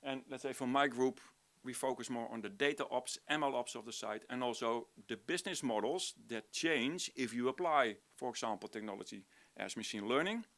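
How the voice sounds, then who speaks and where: Man, middle-aged, Dutch accent, medium-pitched voice, informal conference presentation, halting phrasing with restarts, lecturer. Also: a bit bright. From Netherlands. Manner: halting, searching for words with frequent restarts. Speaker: university lecturer and researcher, conference speaker. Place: conference room, before an audience.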